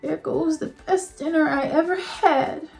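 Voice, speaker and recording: Gentle storybook grandma, slow and soft, slightly high, read by a woman. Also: creaky, faint music behind. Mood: sad.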